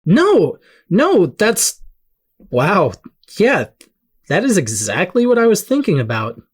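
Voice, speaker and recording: A woman playing a rattled, excitable teenage boy with superpowers in an audio drama, speaking emotionally in casual slang. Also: lowish voice, takes it slowly, husky, heavily acted. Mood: amazed.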